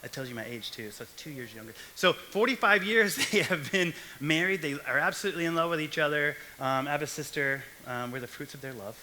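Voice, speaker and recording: A man's voice: on the high side, a little fast, hardly any pauses, sharp tone, slight background noise, poor audio. A young man from Texas giving a casual, joking sermon to a young adult church group. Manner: chatty, jokey asides. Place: church hall stage.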